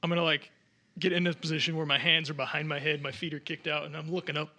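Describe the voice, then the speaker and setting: A man's voice: fairly high pitch, flat delivery, fast, bright, forceful tone. A man playing a tabletop game, casually describing what his character does and reading out rules.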